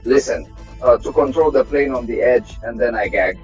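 A middle-aged man, a test pilot from Russia, giving a measured, technical tour of an aircraft cockpit. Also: mid-range voice. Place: aircraft cockpit inside a hangar. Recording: slightly dull sound, faint music behind.

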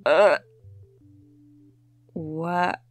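A woman's voice: low voice, steady tone, very slow, faint music behind, exaggerated voice. A woman voicing a fierce, foul-mouthed, irritable young woman in a comic dub, blunt and scornful. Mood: weary irritation. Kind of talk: animated comic-dub voice acting.

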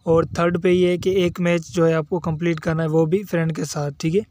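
A man's voice: a little high, level tone, a little fast, a little mellow. A man hosting a step-by-step mobile game tutorial as a voice-over, instructional and often repeating the same verbal tags. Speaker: tutorial video host.

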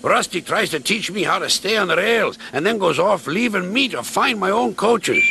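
A man's voice: high-pitched, lively intonation, moderate pace, bright, forceful tone, slight background noise, pitched above the performer's own voice, exaggerated voice. A man voicing a grumpy, boastful male steam engine who speaks bluntly. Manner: gruff, blunt and sulky.

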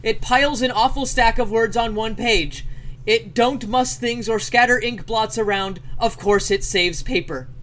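Man putting on a shouting, high-pitched letter writer. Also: a little slow, a little hiss or hum. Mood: shouting, excited. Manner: shouting, declamatory, emphatic word by word. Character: excitable male letter writer, loudly yelling a typed letter. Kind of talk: dramatic letter reading.

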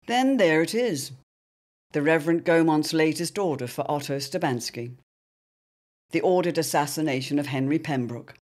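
Higher-pitched theatrical male narrator. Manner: theatrical, portentous. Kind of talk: scripted serial drama narration.

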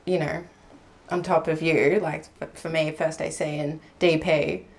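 Relaxed young woman, chatty podcast guest. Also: low voice, unhurried. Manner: laughs easily, relaxed and chatty. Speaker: guest, film crew camera assistant. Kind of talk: casual podcast conversation. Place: home room with warm lamp, indoors. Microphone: large podcast mic on boom arm.

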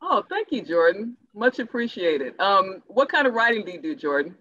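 A woman speaking in a formal, measured, academic way as a playwright in a panel discussion. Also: medium pitch, very animated, sharp tone. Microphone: video call. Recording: dull, lo-fi video call audio.

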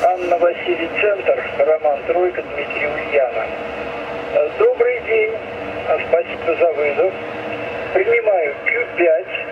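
A man's voice: on the high side, unhurried. A man working as an amateur radio operator, speaking courteously in formulaic phrases and spelled-out callsigns over a two-way radio. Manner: courteous, formulaic well-wishing. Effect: two-way radio transmission. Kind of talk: ham radio contact, spelling out callsigns phonetically. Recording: muffled, very noisy ham radio reception.

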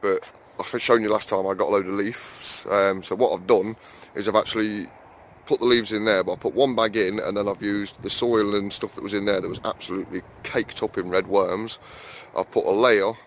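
Low-voiced man, rambling vlogger, chatty, filler-heavy, faint outdoor hum behind. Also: fairly even intonation, moderate pace. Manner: rambling, chatty, many fillers, keeps calling viewers guys. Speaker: allotment vlogger. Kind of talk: casual walk-and-talk vlog. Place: outdoor allotment, then small garden shed.

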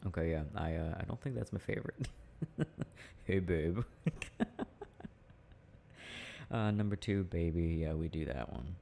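A young man speaking in a playful, affectionate, halting murmur, like an intimate, casual ASMR boyfriend roleplay, with a deep gravelly voice. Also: expressive, mellow. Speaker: boyfriend roleplay audio performer. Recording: faint background noise.

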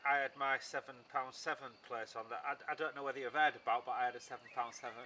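A man's voice: mid-range voice, fairly even intonation, quick pace, a crowd in the background. A man in his thirties chatting casually as a fishing presenter, agreeing readily and prompting with short questions. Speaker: presenter interviewing a fellow angler. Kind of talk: casual outdoor fishing chat. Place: outdoors on a pebbly shore. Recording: faintly noisy outdoor recording.

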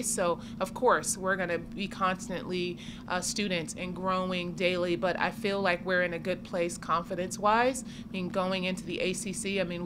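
A woman in her forties, a head coach, answering postgame questions in a measured, steady way at a table mic in a press room. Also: lowish voice. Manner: measured, steady, many "I mean" and "you know". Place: press conference room with sponsor backdrop. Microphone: table mic in front of her. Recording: noisy room ambience.

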